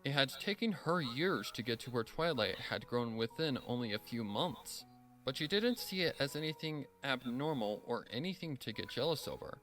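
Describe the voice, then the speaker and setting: A man's voice: medium pitch, animated, normal speed, a bit bright, faint music behind, low-quality recording. A man narrating a dramatic story from a script as the storyteller.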